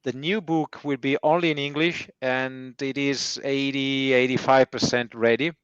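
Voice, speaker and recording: A man with an Italian accent, answering interview questions slowly with many hesitant fillers. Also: mid-range voice, steady tone, fairly bright tone, clear, resonant tone, no echo, highs cut off. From Italy. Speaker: guest timber engineer.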